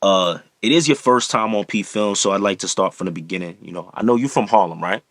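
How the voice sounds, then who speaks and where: A man's voice: on the low side, quick pace, a bit bright. A man hosting an interview, easygoing and slangy as he asks questions. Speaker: interview host.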